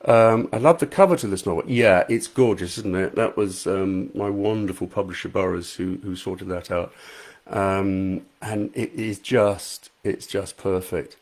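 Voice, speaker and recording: A man's voice: low-pitched, unhurried, a little mellow. A man with a soothing voice, an author chatting casually on a live stream from a small room at home, reading out viewer comments and poking fun at himself. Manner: chatty, self-deprecating, reads out viewer comments. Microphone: high quality microphone.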